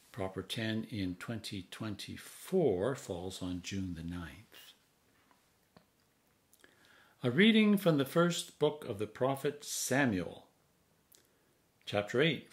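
A man in his sixties, a priest, reading scripture aloud in a measured, solemn manner with deliberate phrasing. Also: fairly low pitch. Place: home study, indoors.

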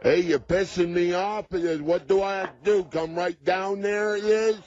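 A man with a husky voice rambling on a call in a halting, repetitive, confrontational way with odd broken phrasing. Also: high voice, steady tone, a little mellow. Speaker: prank call caller, played through a soundboard. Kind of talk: rambling phone talk. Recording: dull lo-fi soundboard clips.

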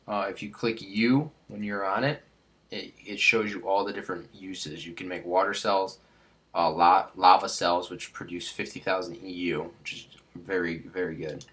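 A man's voice: sits low, fairly even intonation, normal speed, fairly bright tone. A young man with a stuffed-up nose hosting a casual game tutorial, rambling with many fillers and restarts. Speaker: Minecraft tutorial host.

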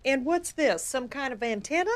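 High-voiced, exasperated mom. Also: female voice, expressive, moderate pace. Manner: pointed, incredulous. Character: exasperated, strict sitcom mother.

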